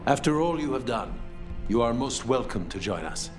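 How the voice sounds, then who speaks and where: An actor playing a dry, formal, grumbling leader of an assassin guild in a video game, deadpan and clipped. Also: male voice, medium pitch, normal speed, over music, natural voice. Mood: guarded, courteous. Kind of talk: video game cutscene dialogue.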